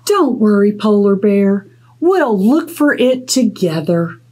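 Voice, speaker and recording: A woman's voice: mid-range voice, sing-song, takes it slowly, dark tone. A woman voicing an eager, helpful little storybook mouse with wide-eyed, sing-song questions. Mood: caring. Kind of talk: expressive storybook read-aloud.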